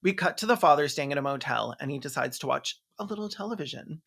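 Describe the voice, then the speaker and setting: Animated, sarcastic video commentator. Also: medium pitch, fast talker, gravelly. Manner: theatrical, mocking. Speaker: host of a comedic film review.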